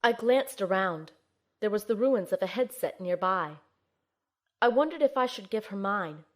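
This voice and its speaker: A woman's voice: mid-range voice, lively intonation, normal speed, lightly acted. A woman playing an earnest young healer in an audio drama, telling and acting out her own ordeal with soothing, emotionally involved delivery. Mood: tense, somber. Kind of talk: amateur audio drama, first-person narration and dialogue.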